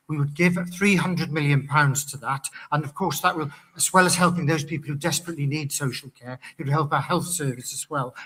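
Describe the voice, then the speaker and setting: A man from Pembrokeshire, a councillor, giving a measured, orderly hustings speech with occasional restarts. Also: on the high side, sharp tone, poor audio. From Pembrokeshire, Wales. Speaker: county councillor and election candidate.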